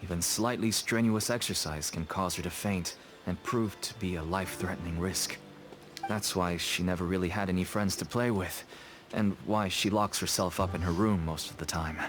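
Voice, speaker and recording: A man's voice: low-pitched, steady tone, moderate pace, close to an everyday voice. An actor playing a nervous, ingratiating merchant father with a shady trade in a video game. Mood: earnest, weary. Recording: game music behind the voice.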